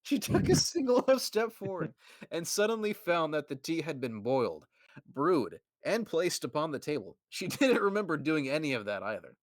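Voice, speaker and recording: A man's voice: a little high, very animated, normal speed, dry recording, low-quality recording. A man reading a fanfic aloud to friends, often breaking into laughter mid-sentence. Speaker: fanfic reader.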